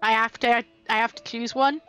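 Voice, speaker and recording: A woman's voice: a little high, fairly even intonation, normal speed, sharp tone. A woman giving casual live commentary as she streams a game, wry, playful and sarcastic. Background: game music.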